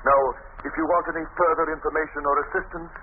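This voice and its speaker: On a thin old radio recording with some hiss, a man plays an earnest male rector. His high voice moves widely as he speaks with formal authority. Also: fast, natural voice. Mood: grave, earnest. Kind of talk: old-time radio drama acting. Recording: narrow-band old radio, hissy.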